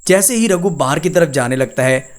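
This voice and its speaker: A man's voice: a little high, lively intonation, moderate pace. A man narrating a scripted moral tale as a storyteller for a cartoon video.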